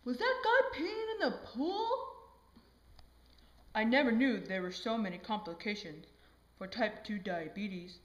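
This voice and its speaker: A woman's voice: on the high side, expressive, measured pace, pauses often, fairly bright tone, a little hiss or hum. A woman voicing a curious, cheeky puppet police officer in an amateur educational skit, playful and inquisitive. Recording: echoey room recording.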